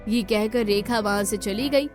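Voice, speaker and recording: A woman's voice: mid-range voice, normal speed, soft background music. A woman narrating a scripted cartoon story as the storyteller.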